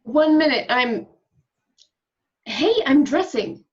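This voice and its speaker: A woman playing an excitable, candid actress aboard a starship, expressive and conversational, in an amateur table read. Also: sits high, slow pace. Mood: excited. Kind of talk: amateur remote table read of a sci-fi comedy. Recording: slightly dull, lo-fi home recording.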